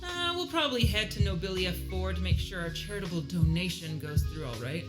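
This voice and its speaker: A woman's voice: on the low side, expressive, brisk, lightly acted. An actress playing a brash, flirtatious female space pirate captain in an audio drama, teasing and mock-polite with a drawl. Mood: relaxed. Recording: loud music bed under voice.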